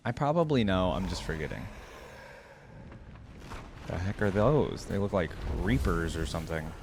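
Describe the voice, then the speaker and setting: A man's voice: low voice, very animated, normal speed, a little mellow, a little hiss or hum. A man giving casual reaction commentary as host, talking in an informal, slangy way and often exclaiming or musing aloud.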